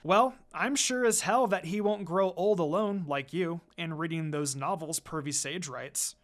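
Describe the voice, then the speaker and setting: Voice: mid-range voice, unhurried, natural voice. A reader voicing a guarded, blunt young female ninja who teases and commands in a terse, dry manner. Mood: teasing.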